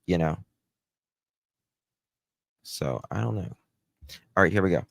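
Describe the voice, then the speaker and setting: Guy doing live commentary, really unhurried, chatty thinking out loud, dry sound. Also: low voice, lively intonation, creaky, dry recording. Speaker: host reacting to recordings and reading live comments. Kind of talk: casual live-stream commentary.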